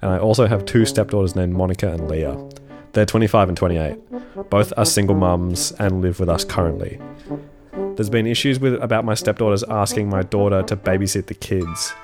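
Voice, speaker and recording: A man's voice: low voice, normal speed, music in the background. A young man co-hosting a casual podcast, congested and sniffling, laid-back and swearing, stumbling as he reads a forum post aloud. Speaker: podcast co-host.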